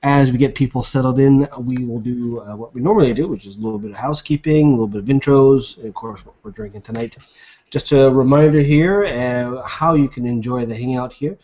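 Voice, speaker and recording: A man's voice: mid-range voice, fairly quick, dark tone. A man hosting a casual live web show from a video call, chatty and upbeat with frequent filler words. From Nova Scotia, Canada. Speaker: host. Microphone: webcam video call. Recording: dull lo-fi video call audio.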